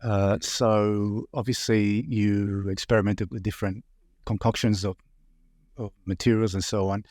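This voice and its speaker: Low-voiced male interviewer, dry sound. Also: little pitch movement, a little slow, darkish tone, no room sound. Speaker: interviewer, audio magazine editor. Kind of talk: conversational interview questioning.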